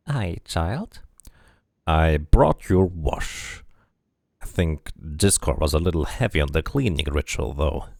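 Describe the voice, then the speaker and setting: A man with a low voice and a noticeable creak streams a game. He moves between reading narration aloud and casual musing, with lively intonation. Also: no room sound, clean studio sound. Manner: muses aloud while playing. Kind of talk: casual playthrough commentary and read-aloud narration.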